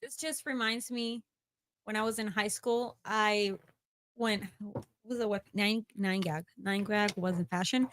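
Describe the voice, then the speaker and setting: A woman's voice: medium pitch, measured pace, slight background noise. Teasing young woman co-hosting a podcast. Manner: teasing, animated storyteller, swears. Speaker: podcast co-host. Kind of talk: casual livestream chat.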